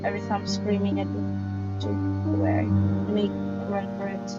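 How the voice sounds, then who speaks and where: A woman with a middle-pitched voice reads story narration aloud while playing a game. She stumbles on words and goes back over them. Loud music runs behind her voice. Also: completely flat delivery, moderate pace, muffled recording. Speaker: game player reading aloud. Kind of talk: reading visual novel narration aloud with offhand reactions.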